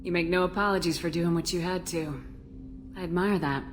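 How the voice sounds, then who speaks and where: A woman playing a cool, direct starship commander in a video game, measured and authoritative. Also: on the low side, fairly even intonation, slight background noise, natural voice. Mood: curious, measured. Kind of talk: video game dialogue acting.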